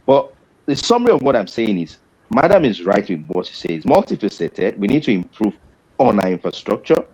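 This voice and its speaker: Deep-voiced Nigerian man, measured. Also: big pitch swings, a little mellow, slightly rough, lo-fi sound. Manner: deliberate, with pauses and restarts. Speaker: doctor panel guest. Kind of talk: measured panel discussion.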